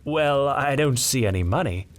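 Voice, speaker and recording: Man playing a cheeky, higher-voiced young son. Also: lively intonation, moderate pace, natural voice. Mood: teasing. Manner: flippant, teasing.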